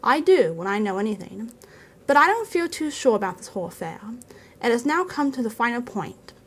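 A woman voicing a grave old male wizard, stern and commanding, with a measured, advising manner in a storybook reading. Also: a little high, sing-song. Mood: thoughtful, wry.

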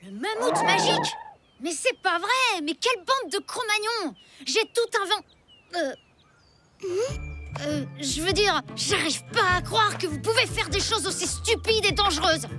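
A woman voicing an earnest, excitable young boy in a French cartoon, kind to his dinosaur friend and scolding toward the bullies. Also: very high voice, unhurried, full character voice. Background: loud cartoon music.

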